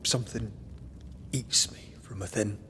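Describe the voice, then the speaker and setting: Low, slow, brooding war-haunted man actor playing. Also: steady tone, in a whisper, natural voice. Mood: despairing. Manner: halting, breathy murmurs, grave. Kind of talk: dramatic video game dialogue. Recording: music under the voice.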